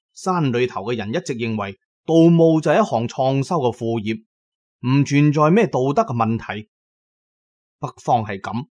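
Narrate as a Cantonese man telling his own story on a radio drama, in a medium-pitched voice, shaping it as dramatised storytelling.

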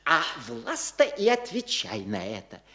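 A fussy provincial landowner, breathless stammering rambler, lively bouncing pitch, radio play. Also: male voice, measured pace, crowd noise. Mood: eager. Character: fussy chattering provincial landowner, middle-aged man. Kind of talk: stage radio play acting.

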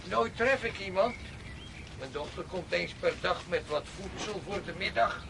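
An actor playing a humble, garrulous, middle-aged peasant woodcutter in a radio drama, plaintive and easily amazed. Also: male voice, high voice, moderate pace, gravelly. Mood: weary complaining. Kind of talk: Dutch radio drama acting. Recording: noisy radio play with outdoor ambience.

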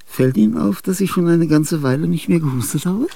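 Older restless genius, husky and raspy, whispers then bursts out, radio drama. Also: male voice, a little high, animated, a little fast, mellow. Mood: excited. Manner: confiding, with sudden outbursts and whispers. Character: ailing aging male genius, restless and grandiose. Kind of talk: theatrical radio drama monologue.

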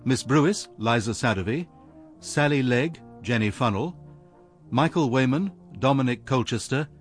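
A male radio announcer with an English accent reads scripted credits. His delivery is formal and measured at a middle pitch, with soft music behind him. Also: animated. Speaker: continuity announcer.

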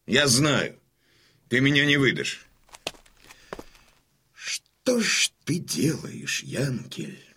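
An actor playing a stern, proud old Cossack colonel in a radio drama, husky and imperious. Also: male voice, medium pitch, sing-song, bright, forceful tone, exaggerated voice. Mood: wry, probing. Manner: imperious, weighty, deliberate.

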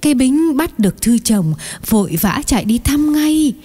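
Older village aunt for audio story, played by a woman: mellow, higher voice, chatty, pitying, kind. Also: lively intonation, moderate pace, slightly rough. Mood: sympathetic, chatty. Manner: exclaiming, chatty, sighing over misfortunes. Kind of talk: acted dialogue in an audio story.

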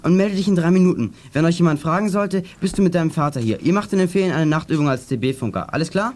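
Brisk, high-pitched boy detective leader played by a man. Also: darkish tone, natural voice. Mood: brisk, planning. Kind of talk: German children's audio drama acting.

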